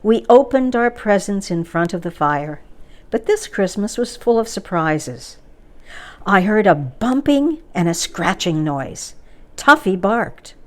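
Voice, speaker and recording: A woman reading a children's picture book aloud as a story time reader, with expressive narration and acted-out dialogue. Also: medium pitch. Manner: measured, animated read-aloud with acted dialogue.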